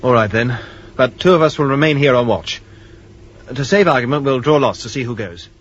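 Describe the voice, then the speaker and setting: An actor playing a cautious, authoritative young spaceship commander in a 1980s radio drama, giving clipped orders. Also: male voice, mid-range voice, lively intonation, brisk, natural voice. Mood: resolute. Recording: dull old radio recording, faint noise.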